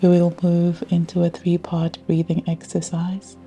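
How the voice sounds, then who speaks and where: A woman guiding a scripted yoga nidra meditation in a soothing, measured way with long pauses. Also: fairly low pitch, completely flat delivery, warm tone. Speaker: meditation guide. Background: ambient music with ocean sounds.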